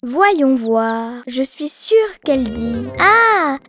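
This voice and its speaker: A woman's voice: high-pitched, big pitch swings, drawn-out words, a bit bright, heavily acted. A woman voicing a mischievous, cheeky little girl in a children's cartoon, with an exaggerated childlike delivery. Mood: scheming. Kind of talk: dubbed children's cartoon acting. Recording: children's cartoon music behind.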